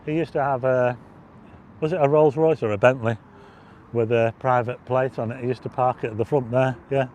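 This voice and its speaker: A man hosting a casual livestream on a windy seafront at dusk, chatting and reading out viewer comments, his voice going hoarse with frequent throat clearing. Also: mid-range voice, steady tone, brisk, a little mellow. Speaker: livestream host. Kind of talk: casual walking livestream chat.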